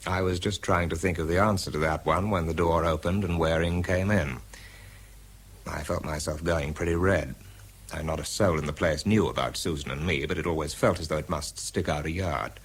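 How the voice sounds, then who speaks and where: A man's voice: very deep voice, moderate pace, husky, heavily acted. An actor playing a weary, sardonic research scientist who tells his own story in a radio drama, dry and understated. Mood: dry, reflective. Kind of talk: first-person radio drama narration and dialogue.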